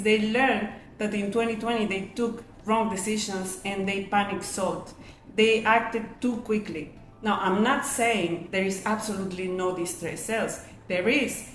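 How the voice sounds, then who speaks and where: A middle-aged woman presenting an explanatory webinar, often asking rhetorical questions and answering them herself. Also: medium pitch. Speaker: webinar host and real estate agent. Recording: echoey room with faint noise.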